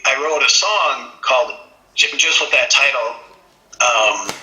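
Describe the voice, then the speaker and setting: Male songwriter guest from Minnesota, mid pitch, sharp bright tone, relaxed and reflective; roomy echo. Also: a little slow. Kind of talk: casual interview. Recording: echoey room.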